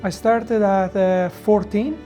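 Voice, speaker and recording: A restaurant manager with an Italian accent, speaking in a halting, deliberate way in a reflective documentary interview. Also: mid-range voice, fairly even intonation, mellow, faint music behind. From Italy.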